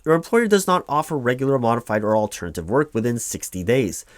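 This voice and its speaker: A man's voice: medium pitch, big pitch swings, quick pace, no room sound, studio quality, lightly acted. A man playing an officious, evasive company clerk reading paperwork aloud in a one-sided ASMR roleplay, with bureaucratic, hedging reassurance. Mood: officious, procedural. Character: officious, evasive male company clerk walking an injured employee through forms.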